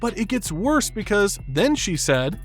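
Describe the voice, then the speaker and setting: Guy doing a video essay voiceover, very animated and opinionated, music under it. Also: high-pitched, measured pace. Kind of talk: scripted film analysis narration.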